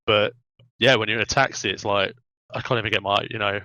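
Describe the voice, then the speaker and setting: A man's voice: on the low side, steady tone, quick pace, sharp tone, dry recording, low-quality recording. A man chatting casually as a podcast panelist, rambling loosely with repeated phrases and hesitations. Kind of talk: casual, rambling gaming chat.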